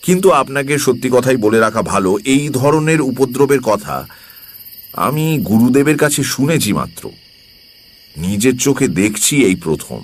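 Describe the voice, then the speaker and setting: A man playing a calm, knowing occult priest who recounts a horror story in a measured, explanatory way. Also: medium pitch. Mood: calm, candid. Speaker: narrator. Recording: long reverb, faint music behind.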